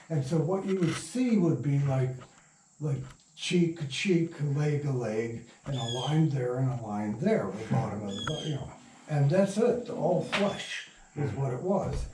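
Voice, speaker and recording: A man's voice: medium pitch, mellow, a little hiss or hum. Older man, echoey, reminiscing in circles. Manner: rambling, circling back mid-sentence. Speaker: interview guest. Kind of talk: casual anecdotal storytelling. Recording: echoey room, distant mic.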